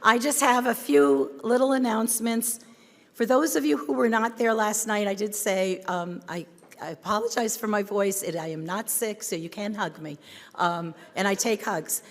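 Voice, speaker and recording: A woman with a hoarse voice giving a chatty welcome address and announcements to a conference. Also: medium pitch, moderate pace. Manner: friendly, chatty, many ums and restarts. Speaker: conference co-chair. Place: large conference hall. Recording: reverberant hall.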